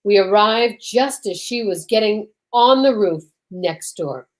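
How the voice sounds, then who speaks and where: A woman's voice: medium pitch, animated, unhurried, lightly acted. A woman playing a plain-spoken police detective and incredulous sidekick in an old-time radio mystery. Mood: questioning, matter-of-fact.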